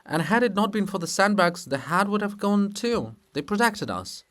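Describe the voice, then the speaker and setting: A man voicing a dignified, respectful male monument of a scholar in a formal, measured manner for a play reading. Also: high voice, very animated, a bit bright, voice pushed up. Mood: explaining.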